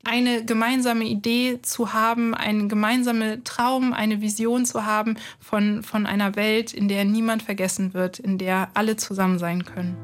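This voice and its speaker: A woman's voice: medium pitch, flat delivery, normal speed, few pauses. A woman in her thirties giving a personal statement as an interviewee. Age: 30s.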